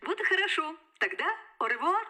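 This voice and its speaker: Bright, high-voiced schoolteacher. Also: female voice, sing-song, fairly quick, heavily acted. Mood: gently instructive. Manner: polite, gently instructive. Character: kindly adult female schoolteacher calling from abroad. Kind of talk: children's TV show acting.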